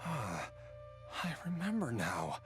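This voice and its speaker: Slow, weary, earnest young man. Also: mid-range voice, wildly swooping pitch, music in the background. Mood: troubled recollection. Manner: halting, sighing, earnest. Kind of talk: dramatic anime game voice acting.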